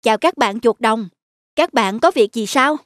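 A woman voicing a calm, kindly grown elephant in a cartoon, gentle and courteous. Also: on the high side, normal speed, no echo, clean studio sound, natural voice. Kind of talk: cartoon dialogue acting.

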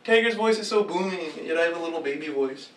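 A man's voice: a little high, expressive, quick pace, a little hiss or hum. A young man in costume chatting casually and laughing at himself while he acts out moves in front of a green screen. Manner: giggly and self-mocking, chatty. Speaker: cosplayer filming fighting-game moves. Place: green screen room indoors. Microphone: far camera mic. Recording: echoey room, mic set far off.

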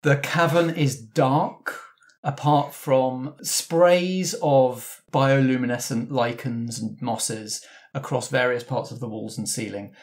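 A man runs the table as game master, laying out each scene at an unhurried, deliberate pace. His voice sits in a plain middle register, neither deep nor high. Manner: slow, measured scene description.

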